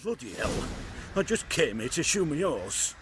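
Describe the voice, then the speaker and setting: An actor playing a blustering, boastful dwarf smith in a fantasy game, blunt and grumbling with a raspy voice. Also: male voice, a little high, big pitch swings, normal speed, background noise. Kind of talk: fantasy video game acting.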